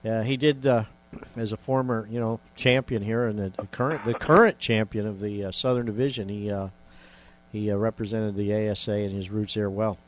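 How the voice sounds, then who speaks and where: A man's voice: fairly low pitch, steady tone, brisk, poor audio. A man hosting a casual radio talk show, rambling with many fillers and restarts.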